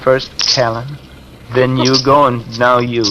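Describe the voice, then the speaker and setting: An actor playing an earnest, wounded ex-con husband in a 1950s American radio noir, with urgent, clipped slang. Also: male voice, medium pitch, little pitch movement, slow, lightly acted. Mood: bitter, urgent. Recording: hissy vintage radio recording.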